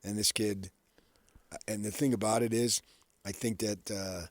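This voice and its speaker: An American man co-hosting a sports radio show, talking casually and haltingly as he restates his points. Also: on the low side, steady tone, dry recording. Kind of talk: casual sports talk commentary.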